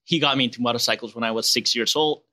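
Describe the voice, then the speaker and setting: A man in his late twenties with a Spanish and Venezuelan accent, a relaxed guest chatting casually outdoors. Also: mid-range voice, quick pace. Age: 20s. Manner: laid-back, relaxed and chatty, calls people man.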